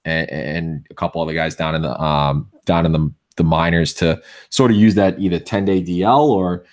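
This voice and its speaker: A man with a Boston accent co-hosting a casual sports podcast, opinionated and full of restarts, with a voice going hoarse. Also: low-pitched, steady tone, moderate pace. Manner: opinionated, many restarts and fillers. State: losing his voice. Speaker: podcast co-host.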